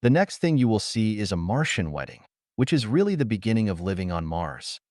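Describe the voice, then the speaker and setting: A serene Martian gentleman voiced by a man reading a story addresses listeners in a low, cultured tone. The speech is clear and solemn, recorded dry. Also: big pitch swings, fairly quick, no echo. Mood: serene, stately.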